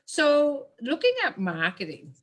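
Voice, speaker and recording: A woman's voice: high voice, very animated, a little slow, a bit bright. A woman in her forties hosting a live interview over a video call, casual with fillers. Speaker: interview host.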